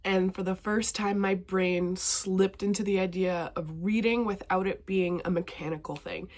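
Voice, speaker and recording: A woman's voice: medium pitch, steady tone, moderate pace, darkish tone. A young woman vlogging casually about books, chatty and enthusiastic, her voice a bit stuffy from a cold. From northwestern Montana. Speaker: BookTube vlogger. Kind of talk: casual talking-to-camera vlog.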